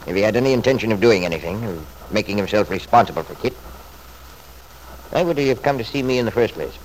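A man's voice: fairly low pitch, lively intonation, quick pace, natural voice. An actor playing a stubborn, selfish middle-aged father in an old-time radio soap, blustering and self-justifying in an emphatic way. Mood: confiding, dismissive. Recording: noisy vintage radio drama recording.

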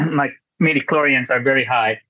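A man's voice: medium pitch, unhurried, bright, forceful tone, no room sound, lo-fi sound. A man with a Chilean-tinged New Jersey accent, a physician guest talking in a chatty, self-deprecating way. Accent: Chilean-tinged New Jersey English. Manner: chatty, self-deprecating, many restarts and laughs. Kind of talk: casual podcast interview.

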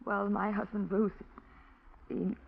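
An actress playing an anxious young wife who speaks in a breathless, hesitant, stammering way, in 1940s radio acting, on a muffled old radio recording. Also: female voice, mid-range voice, little pitch movement, takes it slowly, gravelly.

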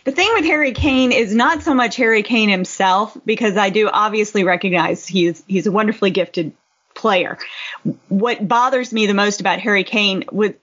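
A woman's voice: mid-range voice, normal speed, a bit bright. Woman chatting bluntly on lo-fi podcast. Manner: informal, blunt, frequent "you know". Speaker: podcast co-host. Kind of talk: casual football podcast chat.

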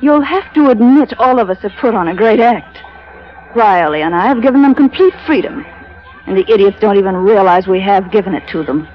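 Actress as a cool scheming wife, muffled radio. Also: female voice, medium pitch, animated, brisk, close to an everyday voice. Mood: cold, bitter. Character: cool, scheming wife bargaining with a sea captain. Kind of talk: old-time radio drama acting. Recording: muffled vintage radio broadcast.

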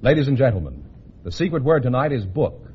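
A man's voice: medium pitch, big pitch swings, a little fast, breathy edge. An American man announcing a 1950s radio show in a formal, projected showman's delivery. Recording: muffled vintage radio recording, faint music.

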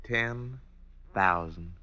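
An actor playing a wary, terse outlaw of the Old West in an old-time radio drama. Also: male voice, deep voice, extremely slow, pushed and bright, no echo, lo-fi sound, exaggerated voice. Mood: wary, grim. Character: wary, hard-bargaining Old West outlaw, adult man.

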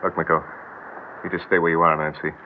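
An American actor playing an earnest, stubborn young boxer who talks in a halting, plain way, in 1960s radio drama style. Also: male voice, a bass voice, little pitch movement. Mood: earnest, steady. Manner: halting, plain-spoken, deliberate. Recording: tinny, noisy old radio recording.

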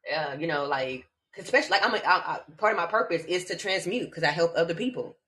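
A woman from Omaha, a laughter yoga teacher, chatting casually in an animated, slangy way. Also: lowish voice, fast talker. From Omaha, Nebraska. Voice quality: animated, slangy, spiritual talk with bursts of laughter. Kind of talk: casual chat.

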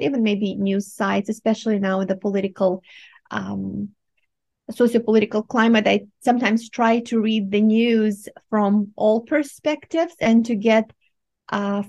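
A middle-aged woman with a Romanian accent, answering interview questions in a measured, precise way with small filler pauses. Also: a little high, gravelly, a little mellow. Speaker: guest professor.

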